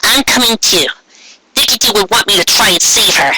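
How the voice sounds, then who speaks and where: A man's voice: high voice, animated, a little fast, bright, forceful tone, breathy edge, dry recording, pitched above the performer's own voice, heavily acted, low-quality recording. A man voicing a determined young mother pony, earnest and resolute, in a story reading. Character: determined, tearful young mother pegasus mare.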